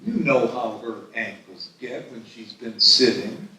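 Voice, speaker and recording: A man playing a mischievous, sweetly stubborn eighty-year-old husband with an earnest deadpan, at a live table read. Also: medium pitch, a little slow. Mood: defensive. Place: live festival stage reading. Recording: echoey hall, distant microphone.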